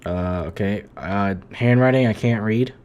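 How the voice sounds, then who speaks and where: Very low-voiced woman streaming a game. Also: unhurried, darkish tone, muffled recording, a little hiss or hum. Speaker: live streamer. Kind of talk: casual game stream commentary, reading along. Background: game music.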